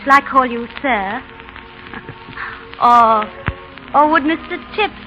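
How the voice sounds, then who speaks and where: A high-voiced young Englishwoman in love, earnest, tender and a little teasing. The sound is a muffled 1930s radio play with faint music underneath. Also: slow, pushed and bright, exaggerated voice. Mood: playful, tender. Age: young adult. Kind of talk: 1930s radio drama acting.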